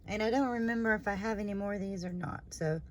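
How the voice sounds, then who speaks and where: A woman hosting her own review channel, slightly hoarse after surgery, talking in a rambling, chatty way with lots of fillers and trailing asides. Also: mid-range voice, fast, a little hiss or hum. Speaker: candle review channel host. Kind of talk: casual product review vlog.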